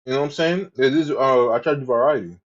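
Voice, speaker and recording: A man's voice: mid-range voice, fast talker, muffled recording. A man streaming live, chatting casually to his viewers in a rambling, profane way and often repeating himself. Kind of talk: casual livestream chat.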